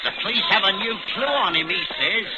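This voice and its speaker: An actor playing a cheerful, courteous ship's steward in a 1940s radio drama, eager to be of help. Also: male voice, high voice, lively intonation, a little fast, over loud music. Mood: cheerful, obliging. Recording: muffled, noisy old radio recording.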